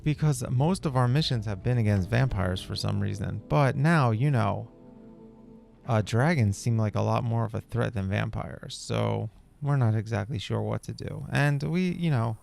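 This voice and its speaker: Gravelly, laid-back man over music. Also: on the low side, moderate pace, a little mellow. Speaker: gameplay video host. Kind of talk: casual in-character gameplay commentary.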